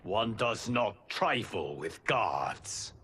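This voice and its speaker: A man's voice: on the low side, very animated, extremely slow, a little hiss or hum, full character voice. An actor playing a stern godlike male protector who speaks in a formal, commanding way in a video game cutscene. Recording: game cutscene over loud score.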